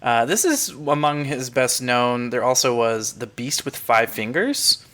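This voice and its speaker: A man's voice: medium pitch, animated, unhurried, a bit bright. A man hosting a podcast, talking casually and reading aloud. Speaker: podcast host. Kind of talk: casual chat and reading a short story aloud.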